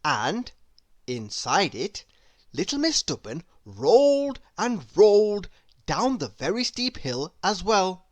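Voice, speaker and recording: Slow, very expressive woman reading a storybook. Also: fairly low pitch, no echo. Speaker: storybook reader. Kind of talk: read-aloud narration for children.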